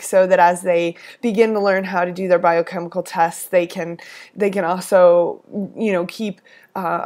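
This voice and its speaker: A hoarse woman coordinating a lab course, briefing teaching assistants in a friendly, instructive way with frequent fillers and restarts. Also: mid-range voice, a little mellow. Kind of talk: recorded weekly instructional briefing.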